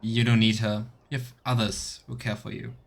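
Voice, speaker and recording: A man's voice: on the low side, little pitch movement, moderate pace, creaky. A man doing casual let's play commentary as a game streamer, chatting and reading dialogue choices aloud.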